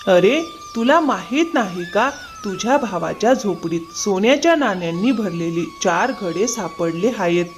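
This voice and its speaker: Guy acting a gossipy villager in a cartoon fable, emphatic n excited, music behind. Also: high voice, normal speed, hardly any pauses. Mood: excited, insistent. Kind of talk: cartoon moral story dialogue.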